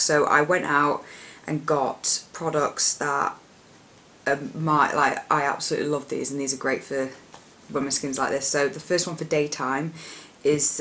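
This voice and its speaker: A young woman with a husky voice, chatting casually about her favourite products in a rambling, filler-heavy way. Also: sits low, fairly bright tone, slight background noise. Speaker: beauty vlogger. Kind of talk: casual product chat to camera.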